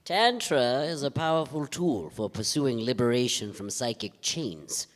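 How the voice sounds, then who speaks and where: Man playing solemn literal mystic guy, deadpan n formal, stage reading, echoey hall. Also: mid-range voice, measured pace, lightly acted. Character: solemn, literal-minded, pedantic mystic companion. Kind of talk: live staged play reading. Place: theatre stage before a live audience. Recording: reverberant theatre hall, live stage.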